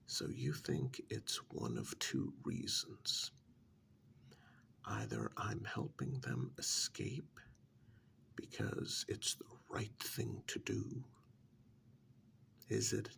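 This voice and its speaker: Man playing a slow, whispery, gravelly sardonic captive. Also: lowish voice, very animated, a bit bright, full character voice. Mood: amused taunting. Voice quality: breathy, near-whispered. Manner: taunting, condescending, deliberate pauses. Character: sardonic, pampered male captive in dystopia, quietly menacing. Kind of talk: soft-spoken ASMR role-play.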